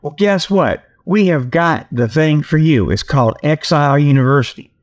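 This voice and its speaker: A man hosting a solo comedy radio show, rambling casually in a folksy, joking way with mock sales pitches and frequent "okay" tags. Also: normal speed. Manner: folksy, joking, chuckling at own jokes; repeats "okay" as a verbal tag.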